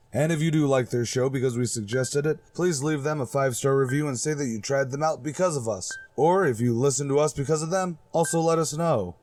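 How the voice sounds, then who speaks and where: A man's voice: mid-range voice, darkish tone, a little hiss or hum. A man hosting a podcast, giving casual announcements to listeners. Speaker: podcast host.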